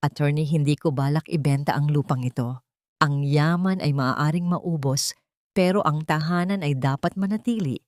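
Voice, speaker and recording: A woman voicing a gentle, dignified older former housemaid in a storybook tale, speaking in a calm, measured way. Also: low voice, no room sound, close to an everyday voice. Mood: calm, resolute. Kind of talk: dramatised storybook narration.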